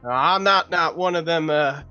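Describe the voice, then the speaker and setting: A man with a fairly high voice talks through his moves in a roleplaying game. He feels his way along hesitantly, rephrasing as he goes and slipping into improvised dialogue, over faint music. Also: moderate pace, pushed and bright, smooth, highs cut off. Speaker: tabletop roleplaying player. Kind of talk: casual improvised in-character game chat.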